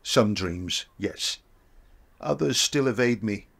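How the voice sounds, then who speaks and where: An actor playing a calm older mentor who gently encourages a young woman to chase her dream. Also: lowish voice, slow pace, creaky, slightly rough, exaggerated voice. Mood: encouraging.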